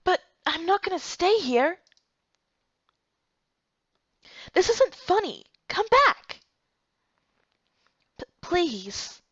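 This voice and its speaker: A woman's voice: extremely high pitch, very animated, slow, a bit bright, no room sound, lo-fi sound, voice pushed up, full character voice. A woman voicing a confused, startled young woman lost in another world, in an amateur game dub. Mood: pleading.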